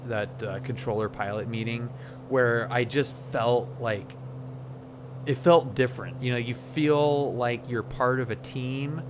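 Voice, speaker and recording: A man with a medium-pitched voice talks in a casual, reflective livestream style. He pauses and restarts as he searches for the right words. A clear hum of noise runs behind his voice. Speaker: flight sim streamer.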